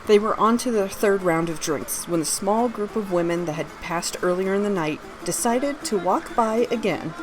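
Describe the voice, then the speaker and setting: A woman's voice: medium pitch, moderate pace, few pauses, a little hiss or hum, low-quality recording. A woman reading her own fantasy story aloud, narrating scripted prose and its dialogue.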